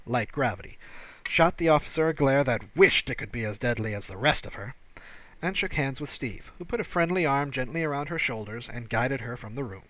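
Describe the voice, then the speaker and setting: A man's voice: mid-range voice, a bit bright. A man reading science fiction as an audiobook narrator in scripted storytelling style.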